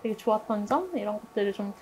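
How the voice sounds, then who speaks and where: A woman's voice: mid-range voice, animated, fast talker, a little mellow, slight background noise, poor audio. A woman moderating a team's casual group discussion in an informal, conversational way.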